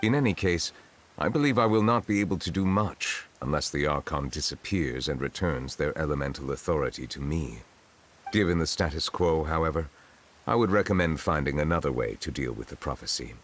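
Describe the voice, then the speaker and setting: An actor playing a dignified dragon lord in human guise for a video game, speaking in a formal, measured, reserved way. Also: male voice, very deep voice, animated, a little hiss or hum. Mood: formal, explanatory. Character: dignified male water dragon sovereign in human guise, solemn. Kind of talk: English video game voice acting. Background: game music.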